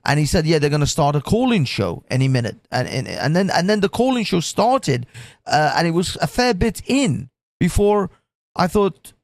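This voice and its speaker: A man hosting a livestream, with a croaky voice, swearing and rambling with many restarts. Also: a little high, big pitch swings, normal speed, dry recording, clean studio sound. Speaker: livestream host. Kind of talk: casual livestream chat.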